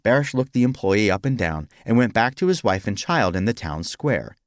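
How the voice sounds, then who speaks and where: Male narrator for fiction audiobook: fairly deep, expressive and dramatic, recorded dry. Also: normal speed, no echo. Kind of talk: scripted story narration.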